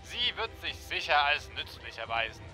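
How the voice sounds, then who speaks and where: A man's voice: fairly high pitch, big pitch swings, normal speed, bright, forceful tone, faint music behind, heavily acted. A man playing a solemn, urgent president giving dramatic orders in a comic audio drama. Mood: urgent, commanding.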